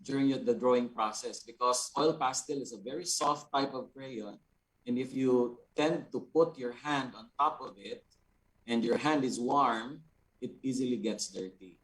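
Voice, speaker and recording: A man with a Filipino accent and a medium-pitched voice answers students' questions as an instructor on a video call. He explains practical steps deliberately, in halting, unscripted phrases. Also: a bit bright, low-quality recording.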